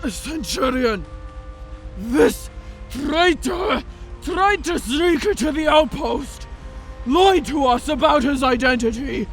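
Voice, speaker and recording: Gravelly, indignant young orc guard. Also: male voice, very high voice, full character voice. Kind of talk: fantasy audio drama acting.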